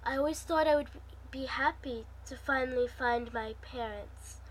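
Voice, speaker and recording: A woman's voice: high-pitched, normal speed, slight background noise, close to an everyday voice, poor audio. A woman voicing a hurt, downcast woman confiding in a friend in a story, subdued and troubled.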